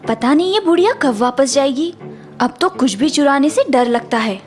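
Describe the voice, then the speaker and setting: A woman's voice: sits high, lively intonation, moderate pace, darkish tone. A woman voicing a sly, greedy housemaid who plays obedient, in a theatrical cartoon story manner. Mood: scheming. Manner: theatrical, deferential to employers, gloating when alone. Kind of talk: Hindi cartoon story voice acting.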